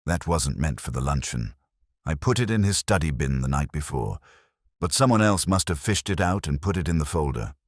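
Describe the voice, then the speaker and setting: A man's voice: extremely low pitch, sing-song, normal speed, dry recording, clean studio sound, heavily acted. A man voicing a weary, nervous, guilty caretaker in an audio drama, hesitant and confessional. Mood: guilty, pleading. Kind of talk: audio drama character reading.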